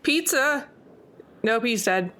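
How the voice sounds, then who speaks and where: A woman's voice: fairly high pitch, animated, slow, pushed and bright. A woman playing a tabletop game, chatting casually and tossing in playful quips.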